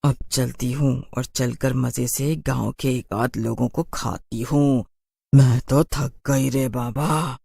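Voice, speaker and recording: A man voicing a sly, boastful city witch in a cartoon horror story, in a slow drawl. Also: medium pitch, steady tone, creaky, warm tone, breathy edge, dry recording, pitched below the performer's own voice, full character voice. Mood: gloating.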